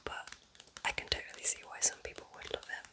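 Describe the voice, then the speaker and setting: Casting: female ASMR presenter, constant airy whisper, gentle informal delivery in soft short phrases. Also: brisk. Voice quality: breathy unvoiced whisper. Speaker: ASMR creator presenting book recommendations. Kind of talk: whispered ASMR, casual book chat.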